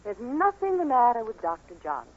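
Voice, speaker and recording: A hospital nurse with a brisk, reassuring, professional manner and very lively pitch movement. The style is vintage 1940s radio drama. The sound is dull, with slight noise. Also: female voice.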